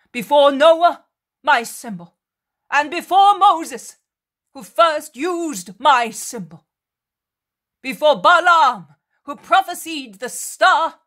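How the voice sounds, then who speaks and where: A woman's voice: high voice, a bit bright, voice pushed up, heavily acted. A woman voicing a stern, commanding male holy prophet from a religious story, declaiming emphatically like an orator.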